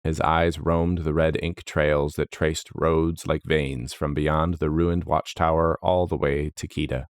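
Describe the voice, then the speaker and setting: Very deep-voiced man narrating fantasy. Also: little pitch movement, unhurried, hardly any pauses, smooth, dry recording, clean studio sound. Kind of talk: scripted fantasy story narration.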